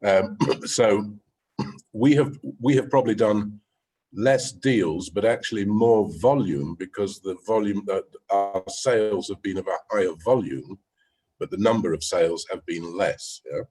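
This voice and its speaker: Man, very low husky voice, measured delivery, webinar panelist. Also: a little mellow. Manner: measured, with frequent "yeah?" tags. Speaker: real estate agent. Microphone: video call.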